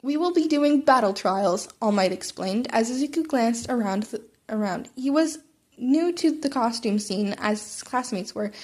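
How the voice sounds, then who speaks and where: A woman with a fairly high voice reads fan fiction as an amateur narrator. She tells it like a homemade audiobook, sometimes tripping on a phrase and reading it again. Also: a little mellow. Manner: stumbles and rereads phrases.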